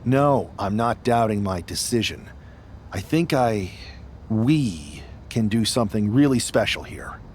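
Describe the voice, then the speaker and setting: A man's voice: lowish voice, expressive, unhurried, a little mellow, a little hiss or hum. A man playing a determined, ambitious young wrestler in a video game cutscene. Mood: resolute. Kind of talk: scripted game cutscene dialogue.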